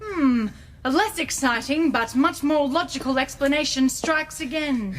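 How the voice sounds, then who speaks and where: High-voiced, excitable starship captain. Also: female voice, a little slow, rough recording. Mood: earnest, curious. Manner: dramatic, bumbling bravado. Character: eager young female starship captain, excitable and dramatic. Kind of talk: comedic sci-fi audio drama acting.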